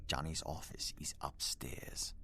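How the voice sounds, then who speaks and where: An actor playing a grave, honour-bound former gangster in a video game, speaking solemnly and deliberately in a gravelly voice. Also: male voice, sharp tone, whispered, faint music behind. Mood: grave, wary.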